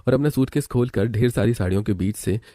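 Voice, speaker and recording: Mellow, quick-talking male storyteller. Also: mid-range voice. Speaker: story narrator. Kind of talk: scripted storybook narration.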